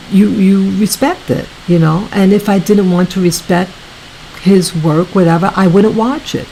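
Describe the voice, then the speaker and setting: Slow, soft-spoken woman vlogger. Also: mid-range voice, a little hiss or hum, low-quality recording. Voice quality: soft, soothing voice. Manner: slow, repetitive, halting with many restarts. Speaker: YouTube channel host. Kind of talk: casual talk to viewers.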